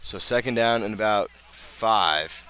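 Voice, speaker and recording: Male American sports announcer, lower-pitched but very bright and forceful, live radio football play-by-play, outdoors at the stadium. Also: low-quality recording. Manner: measured scene-setting, rising to urgent calls.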